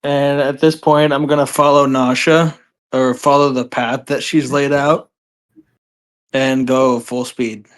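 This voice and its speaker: A woman's voice: very deep voice, level tone, measured pace. A woman talking casually and a little tentatively as a player in a tabletop role-playing game.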